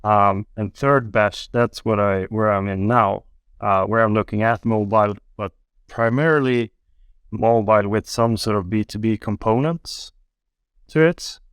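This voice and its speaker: A man, telling his story as a podcast guest in a relaxed, wry way. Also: on the low side, a little slow, clean tone, dry recording, studio quality. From Sweden. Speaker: guest, angel investor. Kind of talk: casual podcast interview.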